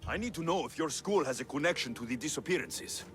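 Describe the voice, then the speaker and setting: Man acting a cocky mercenary hero, lively intonation. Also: fairly high pitch, fast, slight background noise, natural voice. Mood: probing. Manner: dry, confident, taunting. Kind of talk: video game voice acting.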